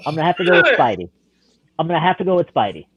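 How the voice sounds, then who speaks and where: A man's voice: on the high side, big pitch swings, rapid-fire, fairly bright tone, husky, dry recording. A man co-hosting a casual podcast, talking in a hesitant, stammering way. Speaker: podcast co-host. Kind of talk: casual chat. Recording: dry tinny phone-like line.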